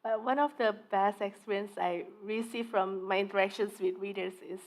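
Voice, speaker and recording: A woman talks casually as an author on a festival panel. Her voice sits at a middle pitch, and she is self-deprecating, dropping little laughs between thoughts. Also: fairly even intonation, moderate pace. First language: Indonesian.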